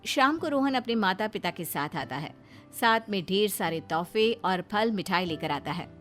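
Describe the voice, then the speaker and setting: A woman's voice: medium pitch, animated, normal speed, fairly bright tone, soft background music. A woman narrator reading a scripted family moral story for a cartoon video.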